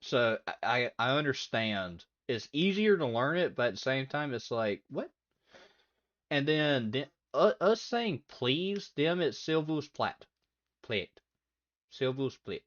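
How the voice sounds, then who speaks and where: A man's voice: medium pitch, big pitch swings, takes it slowly, a bit bright. Rambling young man streaming, lo-fi sound. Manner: rambling, laughs easily, reads out chat messages. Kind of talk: casual livestream chat with viewers. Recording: dull lo-fi audio with faint background music.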